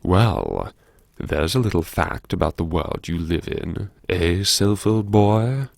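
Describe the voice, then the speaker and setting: A man performing a first-person audiobook monologue as a brooding, wry character who anxiously lectures himself in a theatrical, musing way with dramatic pauses. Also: low voice, sing-song, creaky, no room sound, exaggerated voice. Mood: bitter self-reassurance. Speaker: audiobook narrator.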